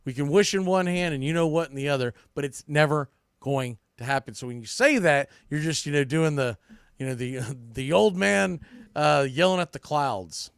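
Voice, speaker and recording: A man's voice: on the high side, moderate pace, gravelly, clear, resonant tone, dry recording, clean studio sound. A man hosting a sports podcast solo, giving opinionated baseball commentary in a blunt, colloquial way and repeating phrases for emphasis.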